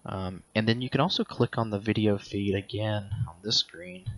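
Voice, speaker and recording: A middle-aged man giving a casual product walkthrough as a reviewer, explaining step by step in a halting, hesitant way. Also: fairly low pitch, big pitch swings, gravelly.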